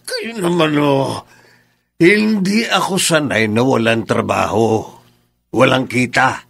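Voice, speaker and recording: An elderly Filipino father in a radio drama, a stubborn but easygoing working man. His voice is gravelly and sits at an average pitch. He protests in a wry, wheedling way. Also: takes it slowly, fairly bright tone, exaggerated voice. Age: older. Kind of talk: Tagalog radio drama acting.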